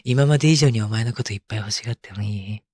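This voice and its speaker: Breathy, tender young husband, acted by a man. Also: on the low side, very fast. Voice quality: soft, breathy. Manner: murmuring, playful, coaxing. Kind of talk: intimate ASMR roleplay voice drama. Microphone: close microphone, binaural.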